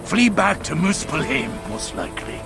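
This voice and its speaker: A man playing a gruff, pragmatic dwarf rebel leader in a video game, talking in a plain, folksy, wry way. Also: fairly high pitch, sing-song, moderate pace, over music. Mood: persuasive. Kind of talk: video game cutscene acting.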